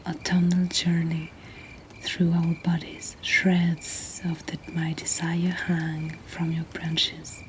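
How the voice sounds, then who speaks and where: A woman who is not a native English speaker reads poetry as a host in a soft, breathy, gentle whisper, in an ASMR style. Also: sits low, low-quality recording. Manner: slow, gentle, deliberate delivery. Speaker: host reading submitted poetry. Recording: nighttime cricket ambience behind, faint noise. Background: crickets and insect ambience.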